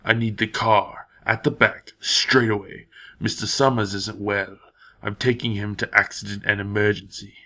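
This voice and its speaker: A man's voice: fairly low pitch, steady tone, normal speed, bright, forceful tone. A man playing a cruel, domineering stepfather in an audio drama, sneering and menacing as he talks. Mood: curt, dismissive. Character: cruel, domineering, hard-hearted evil stepfather, a businessman.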